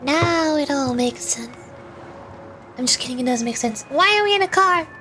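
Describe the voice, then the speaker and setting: A woman's voice: sits high, expressive, normal speed, noisy background. A woman streamer giving casual commentary as she plays through a game.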